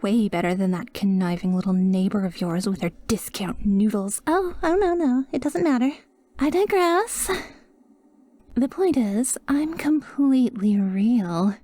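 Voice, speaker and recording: Soft, unhurried, clingy angel played by a woman. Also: mid-range voice, animated, dry recording. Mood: flustered, earnest. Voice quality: soft, breathy, often dropping to a whisper. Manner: formal, flowery, slow and adoring. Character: sweet, clingy, possessively devoted female guardian angel. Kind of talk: roleplay ASMR, scripted monologue.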